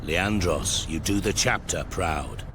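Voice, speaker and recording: Low, gruff veteran sergeant. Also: male voice, slow pace, background noise. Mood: approving, dry. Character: gruff, seasoned male warrior sergeant, pragmatic and loyal. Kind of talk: military game dialogue. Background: loud music, artillery and machinery.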